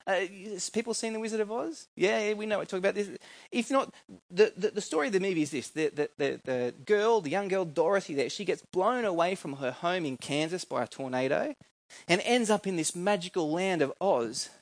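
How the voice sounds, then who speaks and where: A man's voice: high voice, animated, brisk, no echo. Australian man preaching, conversational, close dry sound. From New South Wales, Australia. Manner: conversational, informal, with rhetorical questions to listeners. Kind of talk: sermon with scripture reading and personal anecdotes.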